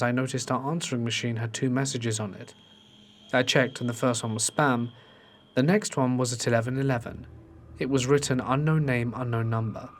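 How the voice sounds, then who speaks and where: A man's voice: mid-range voice, slight background noise. Man narrating ghost stories. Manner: steady storytelling delivery, reading submitted accounts.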